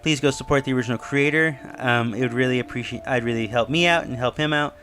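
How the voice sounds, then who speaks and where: A man's voice: medium pitch, quick pace, fairly bright tone, a little hiss or hum. A man giving casual, speculative video commentary in a musing, slightly rambling way as host. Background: music under the voice.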